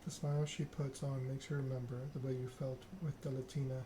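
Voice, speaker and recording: A man's voice: mid-range voice, flat delivery, fairly quick, noisy background. A man doing an ASMR read-aloud of a narrative game, occasionally stumbling over the written text. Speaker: gaming channel host.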